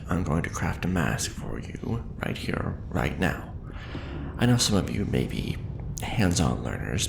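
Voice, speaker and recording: A man playing a seasoned, patient and wry craftsman teacher in an ASMR roleplay, speaking in a measured, hushed way with dry humor. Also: very deep voice, vocal fry, echoey room, noisy background, exaggerated voice. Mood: patient, cautioning. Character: seasoned male sci-fi fantasy mask-forging craftsman teacher, patient, wry. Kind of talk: ASMR roleplay, instructional monologue.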